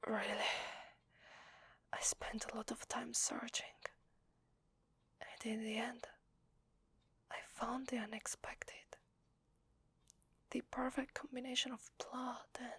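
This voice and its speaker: A woman with an Italian accent voicing a weary, desperate vampire in a hesitant, breathy ASMR roleplay whisper. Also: on the high side, little pitch movement, a bit bright, no room sound, exaggerated voice. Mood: pleading, apologetic. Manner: hesitant, slow, confiding. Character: weary, desperate, apologetic young-sounding female vampire.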